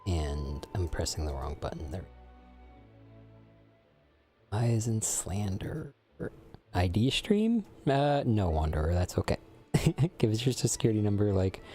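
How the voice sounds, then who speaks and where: A man's voice: fairly low pitch, warm tone. Joking forty-year-old man streaming games. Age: 40s. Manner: joking, crude, mock-serious banter. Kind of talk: casual gaming stream chat.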